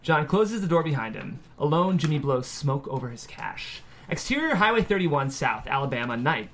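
Higher-voiced man narrating a screenplay. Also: very animated, moderate pace, fairly bright tone, slight background noise. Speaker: stage-direction narrator. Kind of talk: scripted narration of a screenplay table read.

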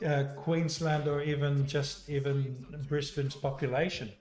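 A male radio host chatting casually with his guest and backing him up with quick affirmations. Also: on the high side, steady tone, darkish tone, roomy sound. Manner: affirming interjections, friendly back-and-forth.

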